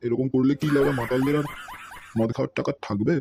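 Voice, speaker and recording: A man's voice: medium pitch, steady tone, quick pace, gravelly, noisy background, natural voice. A man voicing a middle-aged drunkard beggar in a comedy cartoon, rambling and constantly swearing. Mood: grumbling. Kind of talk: amateur comedy cartoon voice-over. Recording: music behind the voice.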